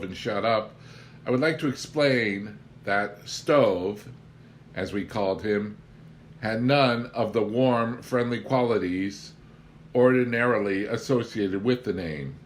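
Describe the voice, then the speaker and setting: Man, low-pitched voice, wry theatrical audiobook narration, deliberate phrasing, faint background noise. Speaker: audiobook reader. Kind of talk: expressive scripted storytelling narration of a memoir.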